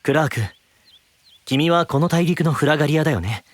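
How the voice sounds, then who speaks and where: A man playing a calm, gentle young knight in an anime-style voice drama, measured and earnest. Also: on the high side, no echo, lightly acted. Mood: inquiring.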